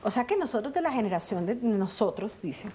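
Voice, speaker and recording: A middle-aged Colombian woman chatting as an interview guest, telling stories with lively asides and acted-out bits of dialogue. Her pitch sits in the middle of the range for a woman. A faint noise hangs behind her voice. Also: brisk. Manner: animated storytelling, acts out family dialogue. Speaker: guest, actress. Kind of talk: conversational interview.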